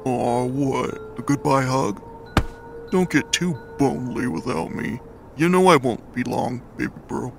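A man voicing a laid-back, protective eighteen-year-old skeleton big brother in a comic dub, with a gravelly voice and casual drawl. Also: medium pitch, measured pace, music in the background. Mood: laid-back, teasing. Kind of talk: dramatic comic dub acting.

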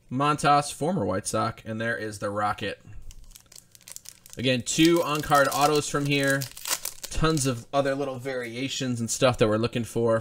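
A man's voice: mid-range voice, fairly bright tone. A young man hosting a live card break, chatting casually as he rattles off player names while opening packs at a desk in a small studio. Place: indoor studio with branded backdrop.

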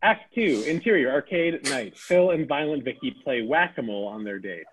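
A man's voice: medium pitch, expressive, moderate pace, slight background noise. A man reading the stage directions at a comedy table read, amused and laughing between lines. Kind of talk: reading a comedy script aloud. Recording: tinny phone-like sound.

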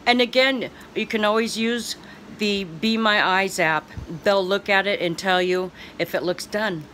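An older woman filming a home cooking video on her phone, adding slow asides and chuckling now and then. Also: mid-range voice, fairly bright tone, slight background noise. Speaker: co-host filming. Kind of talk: casual cooking demo. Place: small home kitchen. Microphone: handheld phone.

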